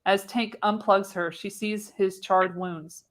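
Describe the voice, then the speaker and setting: Narrator, woman, mid-pitched: reads scene headings and action lines from a script with dramatic expression, slightly unpolished live delivery. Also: fairly even intonation, slow. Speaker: narrator, show host. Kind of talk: dramatic reading of screenplay stage directions.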